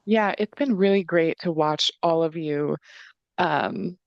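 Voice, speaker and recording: Young woman, casual interviewer, gravelly fry, dry close headset sound. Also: low-pitched, slow, no echo, highs cut off. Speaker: interviewer, show producer. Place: indoor room, on a video call. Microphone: headset on a video call.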